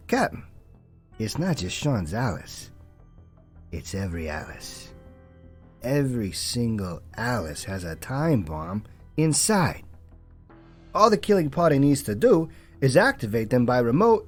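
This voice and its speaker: A man acts out an excitable street-talking detective in a noir radio play. His mid-range voice swings widely in pitch, earnest and slangy, with music faintly behind it. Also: lightly acted. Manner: animated, earnest, colourful slangy phrasing. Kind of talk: noir audio drama dialogue.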